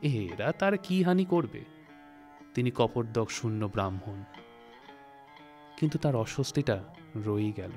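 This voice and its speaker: A man reading a literary story as narrator, in a measured, phrase-by-phrase storytelling manner. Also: lowish voice, expressive, darkish tone. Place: recording studio. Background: music.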